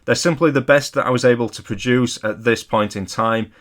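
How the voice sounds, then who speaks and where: A man narrating a scripted lecture as an amateur hobbyist video host, in a measured, careful and modest explanatory manner. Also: medium pitch, fairly bright tone. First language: not Polish; English speaker.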